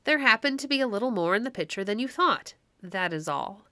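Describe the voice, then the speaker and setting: A woman's voice: medium pitch, animated, brisk, sharp tone, no echo. A woman voicing a kindly, humble old husband in old-fashioned storybook speech. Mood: amused, doubting.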